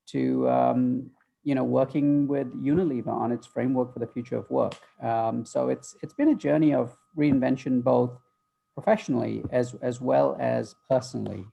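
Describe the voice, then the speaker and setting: A man's voice: mid-range voice, gravelly, dark tone, breathy edge, dull audio. A middle-aged man from Malaysia who grew up in the UK, answering thoughtfully on a remote interview call with many ums. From Malaysia, raised in the UK. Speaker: guest, future-of-work author. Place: home office. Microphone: video call.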